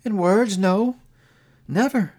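A man reading a storybook as a fair young woman, gentle and sorrowful, speaking softly and earnestly in measured phrases. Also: high-pitched, pitched above the performer's own voice. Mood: grave, earnest.

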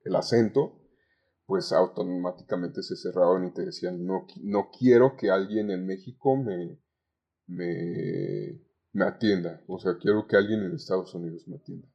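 A young Mexican man talking conversationally as a podcast guest about his experiences, with halting phrasing and repeated words. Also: low voice, expressive, breathy edge. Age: young adult. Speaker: podcast guest, call center agent. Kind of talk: conversational interview, recounting work anecdotes. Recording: dull, lo-fi sound.

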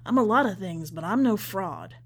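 A woman's voice: mid-range voice, lively intonation, moderate pace, husky. A woman voicing a pious, folksy bearded male preacher in a prison story, evasive and sanctimonious. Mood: defensive, pleading.

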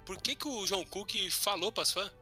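A young man, co-hosting a podcast and talking in animated, slangy banter. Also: sits high, normal speed, faint music behind. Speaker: podcast co-host. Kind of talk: casual joking chat.

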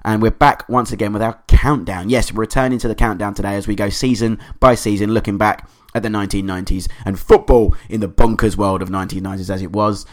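A man hosting a podcast, hoarse from a cold, chatting in an enthusiastic, rambling way. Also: fairly low pitch. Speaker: podcast host. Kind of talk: casual podcast monologue.

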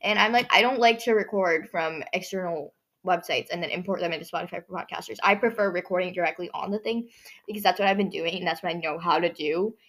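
Hoarse teenage girl podcasting. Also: on the low side, fast, a bit bright. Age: teens. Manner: rambling, many fillers and restarts. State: hoarse, strained voice. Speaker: podcast host. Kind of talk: casual solo podcast chat.

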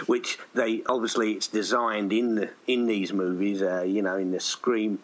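A man's voice: on the low side, level tone, unhurried. A man chatting casually in an interview, rambling and hesitant as he thinks aloud. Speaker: writer-director of an audio play being interviewed.

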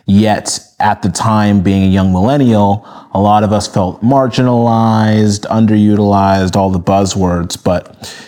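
A man in his thirties from Nigeria, raised in Minnesota, answering interview questions in a relaxed, steady storytelling way. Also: fairly low pitch, measured pace. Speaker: guest, media brand co-founder.

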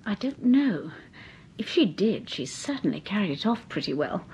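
A curious detective's wife. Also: female voice, medium pitch, very animated, normal speed, husky, full character voice, rough recording. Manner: bright, inquisitive questioning. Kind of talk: 1940s radio drama acting.

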